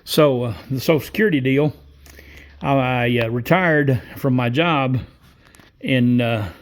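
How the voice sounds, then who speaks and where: A man in his sixties talks through a long personal story in a loose, folksy ramble. He pauses often to gather his thoughts and keeps a mid-range voice. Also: mid-range voice. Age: 60s. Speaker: vlogger. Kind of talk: casual storytelling monologue.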